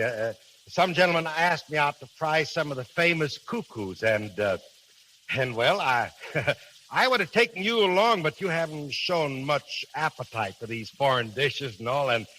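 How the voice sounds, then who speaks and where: A man's voice: mid-range voice, lively intonation, unhurried, pushed and bright. An actor playing a bumbling, folksy middle-aged married man with an American accent, stammering and slangy in 1930s radio style. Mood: flustered, evasive. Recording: hissy, crackly 1930s radio recording.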